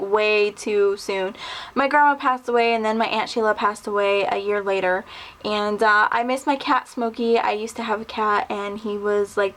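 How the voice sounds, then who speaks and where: A woman in her twenties chatting casually to camera, rambling through a list of questions with lots of fillers. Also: a little high. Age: 20s. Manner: rambling, chatty, lots of ums and little laughs. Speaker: vlogger. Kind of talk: casual tag video answering questions.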